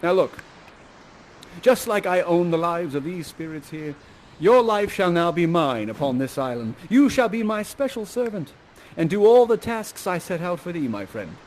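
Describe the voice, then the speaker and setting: Man acting a high-voiced, commanding Shakespearean father. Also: very animated, moderate pace, darkish tone, slight background noise. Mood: stern, bargaining. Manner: commanding, theatrical, repeating phrases.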